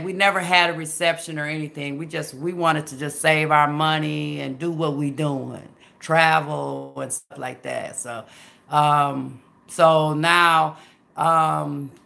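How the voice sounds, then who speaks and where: A Southern woman in her fifties hosts a casual livestream, chatting warmly and folksily with viewers. Her voice is gravelly with a bright, forward edge. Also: sits low. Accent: Southern American. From Memphis, USA. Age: 50s. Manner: folksy, chatty, calls viewers baby and girl.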